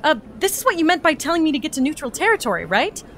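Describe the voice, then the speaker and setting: Lady as an awkward young stranger, high swoopy voice, stammers, dry sarcasm, kinda exasperated. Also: sing-song, fast talker, fairly bright tone, slight background noise, exaggerated voice. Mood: confused. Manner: hesitant, stammering, dryly sarcastic. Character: awkward, bewildered young otherworldly newcomer, politely exasperated. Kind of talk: audio drama acting with log narration.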